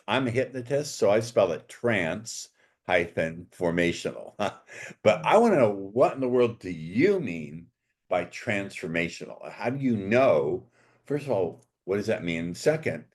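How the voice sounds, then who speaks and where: Very low-voiced joking host. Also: male voice. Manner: playful, joking, quick asides. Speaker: podcast host. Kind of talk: podcast interview.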